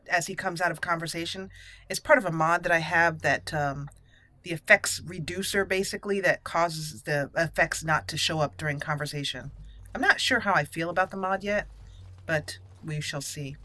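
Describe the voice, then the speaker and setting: A woman hosting a role-play game playthrough, speaking deliberately and theatrically in character, with casual asides. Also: fairly low pitch, sharp tone. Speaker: gaming channel host.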